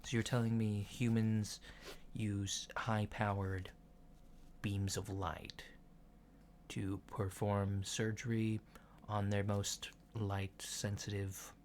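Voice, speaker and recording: A man playing a polite, curious alien doctor in a soft-spoken ASMR roleplay, talking in a halting, matter-of-fact way. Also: lowish voice. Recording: lo-fi with clear background noise.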